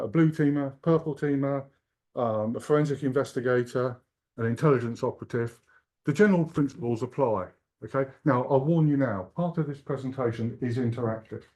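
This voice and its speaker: A man's voice: mid-range voice, dark tone. An Englishman in his sixties giving a humorous, self-deprecating keynote talk at a conference. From England. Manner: joking, self-deprecating, chatty. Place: conference hall.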